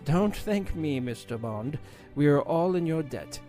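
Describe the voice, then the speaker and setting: A man's voice: fairly high pitch, animated, unhurried, music in the background. A man voicing a formal, gracious British female prime minister in an audio drama.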